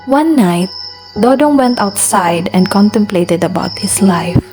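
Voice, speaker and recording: Female narrator over clear background music. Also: medium pitch, expressive, measured pace, rough recording. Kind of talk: scripted radio drama narration. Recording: music behind the voice.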